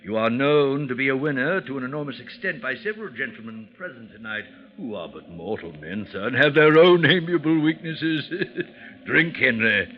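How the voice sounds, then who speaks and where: An actor playing an oily, effusive French gambling-house schemer in 1940s radio style, florid, flattering and falsely solicitous. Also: male voice, medium pitch, normal speed, close to an everyday voice. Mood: coaxing. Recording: muffled, noisy 1940s radio recording.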